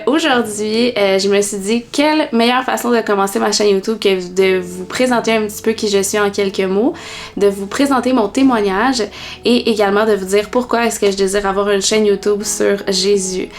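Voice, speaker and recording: A woman in her twenties with a Québécois accent, telling her personal faith story in a vlog with many pauses and fillers. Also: medium pitch, steady tone. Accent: Québécois French. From Quebec, Canada. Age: 20s.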